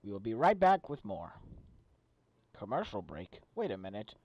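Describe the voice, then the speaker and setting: A man's voice: lowish voice, huge pitch swings, normal speed, muffled recording, full character voice. A man playing a flustered small-town radio host, talking through live local news. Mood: businesslike, flustered.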